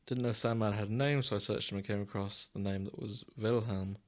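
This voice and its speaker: A man's voice: on the low side, steady tone, a little fast. Man bantering in teasing, mock-solemn podcast style. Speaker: podcast co-host. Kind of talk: casual comedic chat with fan fiction readings.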